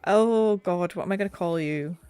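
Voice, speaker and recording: A woman's voice: fairly low pitch, moderate pace, warm tone, clean tone. A woman streaming a game, chatting casually and self-deprecatingly while she plays, her voice slightly nasal. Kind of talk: casual gameplay commentary. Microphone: desk mic set back a little.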